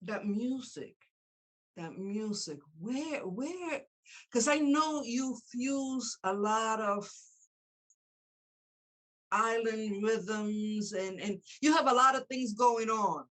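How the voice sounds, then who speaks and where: A woman's voice: fairly high pitch, takes it slowly. A middle-aged woman whose first language is Spanish, speaking English with a Spanish accent as an interview host in a chatty, affirming way. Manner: chatty, warm-hearted affirmations, frequent laughter. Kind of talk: casual interview chat.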